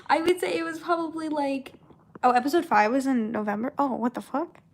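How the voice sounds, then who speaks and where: A young woman chatting casually and unscripted, in a halting, rambling way with trailing thoughts and repeated words. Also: sits high. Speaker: voice actor and comic dub creator chatting with viewers.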